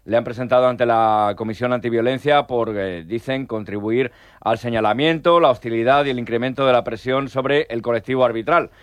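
Male sports reporter, even mid pitch, dry studio sound, calm with hesitations. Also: dry recording. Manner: measured, agreeable, many fillers. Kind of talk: radio sports chat.

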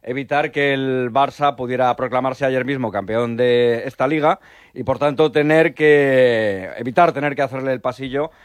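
Dry-sounding sports reporter, lively. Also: male voice, mid-range voice, moderate pace, clear, resonant tone, dry recording. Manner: lively, talks on through interruptions. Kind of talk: radio sports segment, casual chat.

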